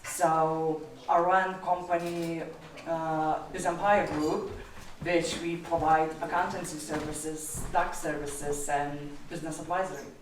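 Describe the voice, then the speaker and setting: A woman's voice: low voice, level tone, a little slow, noisy background. A woman with an Eastern European accent, a business advisor speaking directly on a panel in non-native English. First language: an Eastern European language. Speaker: tax strategist and business advisor. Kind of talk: panel discussion. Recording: echoey room from far off.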